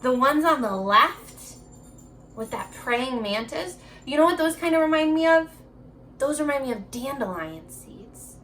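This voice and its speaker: A woman teaches young children in a high, very lively voice. She takes her time, reading and prompting with encouraging questions as if each pupil were right there. A little background noise sits behind her. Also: a little slow. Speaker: elementary school teacher. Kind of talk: children's remote reading lesson, alternating slow read-aloud and chatty prompts.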